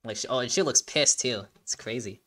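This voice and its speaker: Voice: medium pitch, a little fast, a bit bright. An enthusiastic host giving casual reaction commentary, rambling and often repeating phrases while searching for words. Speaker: video host.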